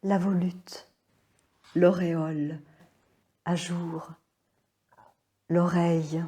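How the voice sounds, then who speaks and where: A woman reading poetry alone in a measured, hushed way, pausing after short phrases. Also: fairly low pitch, little pitch movement. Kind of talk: slow solo poetry reading.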